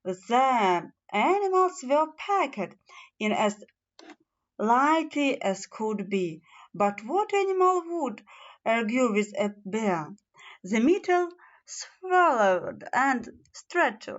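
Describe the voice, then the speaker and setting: Slow, high-voiced woman reading a fairy tale. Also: very animated. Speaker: storybook reader. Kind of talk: children's fairy tale narration. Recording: slightly dull, lo-fi sound.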